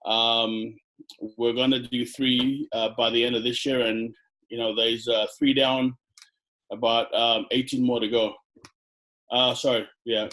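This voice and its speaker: Hesitant man with a flat delivery. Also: mid-range voice, measured pace, rough recording. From Fiji, raised in New Zealand. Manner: hesitant, many ums and uhs. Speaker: chiropractor guest. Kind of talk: casual interview answers. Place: indoor room on video call. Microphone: headset.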